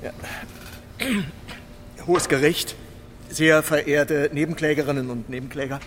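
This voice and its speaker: An actor playing a solemn, pompous prosecutor giving an oration in a radio drama. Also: male voice, fairly high pitch, fairly even intonation, measured pace, fairly bright tone, a little hiss or hum. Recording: reverberant hall with faint ambience.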